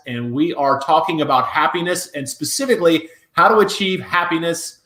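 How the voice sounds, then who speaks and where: A man hosting a podcast who opens the show and interviews a guest in an upbeat, energetic way. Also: fairly high pitch, echoey room. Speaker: podcast host.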